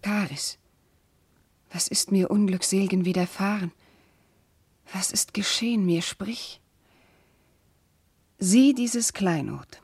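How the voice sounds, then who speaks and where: A woman's voice: medium pitch, takes it slowly, long pauses, a little mellow, slightly rough, no echo, heavily acted. A woman playing a young, noble princess, anguished and bewildered, in solemn, fervent German classical verse radio drama. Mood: shaken, pleading.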